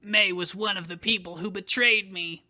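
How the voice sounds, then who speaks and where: A man's voice: high-pitched, steady tone, normal speed, pushed and bright. A man voicing a proud, bitter, vengeful hero in a scornful, declamatory way for a dramatic fan fiction reading. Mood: bitter, heated.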